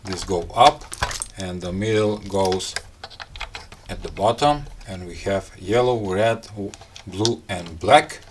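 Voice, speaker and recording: A man with a slightly low voice walks through a product unboxing at a very slow pace. His delivery is casual and halting, broken into small pieces as if talking to himself. Some background noise sits behind the voice. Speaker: gadget reviewer, host.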